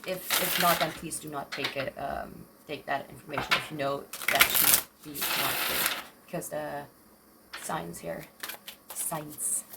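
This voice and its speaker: A woman in her twenties doing a tarot reading, with a slightly raspy voice and a hesitant, rambling way of talking full of fillers. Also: low voice, steady tone, a little slow, very noisy. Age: 20s. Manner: hesitant, rambling, self-interrupting with many fillers. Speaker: tarot reader.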